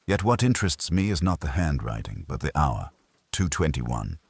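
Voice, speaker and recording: A man acts out an imperious older detective for a mystery audiobook. The voice is extremely deep and husky, with formal, pompous phrasing. He is measured and stern, with emphatic swings in pitch. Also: very animated, dry recording, exaggerated voice. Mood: stern, deliberate.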